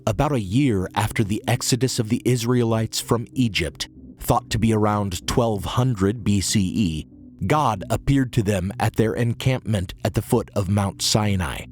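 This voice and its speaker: A hoarse man narrating a history podcast in a measured, earnest way. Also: fairly low pitch, a little mellow, soft background music. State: hoarse, recovering from illness. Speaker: podcast host.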